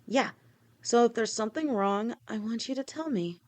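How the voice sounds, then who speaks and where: A woman voicing a gentle, protective snake-woman girlfriend who talks softly and reassuringly to her partner in an audio roleplay. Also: fairly high pitch, normal speed, natural voice. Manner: soft, reassuring, speaks directly to a partner.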